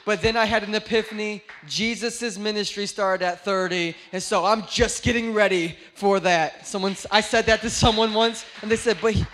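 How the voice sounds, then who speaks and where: A young man in his late twenties preaches to his church with a high, light voice. He keeps it lively with jokes and playful asides, acting out little stories as he goes. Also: normal speed, few pauses, slight background noise. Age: 20s. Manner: joking, animated storytelling. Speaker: pastor. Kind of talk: sermon.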